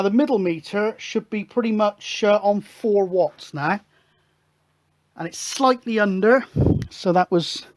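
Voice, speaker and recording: Chatty hobbyist thinking aloud. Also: medium pitch. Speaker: hobbyist radio show host. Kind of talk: informal hands-on technical demonstration.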